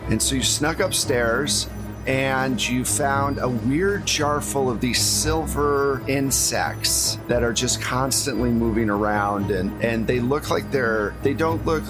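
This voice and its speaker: A man's voice: mid-range voice, slow, over music. A man speaking casually as game master and host, recapping the story of a tabletop role-playing game.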